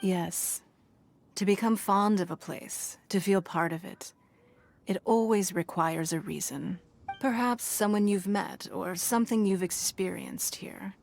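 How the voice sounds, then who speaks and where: A woman's voice: medium pitch, creaky, a little mellow, soft background music. A poised commanding businesswoman leader. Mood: calm. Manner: measured, authoritative, formal. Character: poised wealthy female city leader, shrewd and commanding. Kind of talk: game cutscene voice acting.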